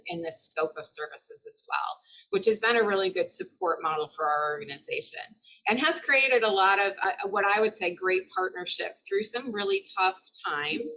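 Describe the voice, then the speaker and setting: Woman on a zoom panel, bright voice, kinda low, muffled audio. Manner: measured, many "um" pauses. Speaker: panelist, procurement executive. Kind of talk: virtual panel talk. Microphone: video call. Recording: dull video-call audio.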